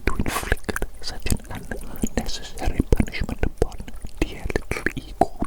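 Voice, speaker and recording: A woman performing a whispered ASMR roleplay as a grandiose, obsessive evil scientist, theatrically repeating phrases over and over. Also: moderate pace.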